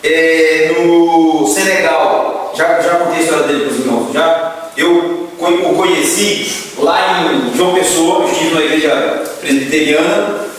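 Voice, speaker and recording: A man's voice: fairly high pitch, steady tone, measured pace. A Brazilian man, a deacon teaching Sunday school, earnestly sharing prayer requests and often turning to his listeners as brethren. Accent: Brazilian Portuguese. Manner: earnest, repeats phrases, addresses listeners as brethren. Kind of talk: church class announcements, prayer requests. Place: church classroom. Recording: echoey hall, distant mic, faint noise.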